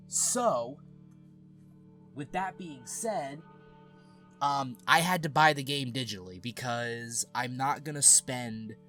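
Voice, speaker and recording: A man's voice: mid-range voice, bright, forceful tone. A young adult man hosting a let's play, rambling in a casual, chatty way, a bit sniffly from allergies. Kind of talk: casual gameplay commentary.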